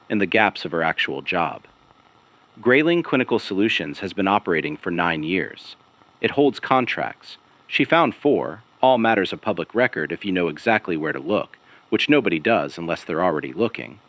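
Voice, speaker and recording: A man playing a weary, haunted investigative broadcaster, narrating a scripted audio drama gravely and deliberately, in clipped phrases with dramatic pauses. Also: sits low, fairly bright tone, poor audio. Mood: grave, measured. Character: weary, haunted male investigative broadcaster piecing together a conspiracy. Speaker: broadcast host and narrator of a fictional missing person investigation series.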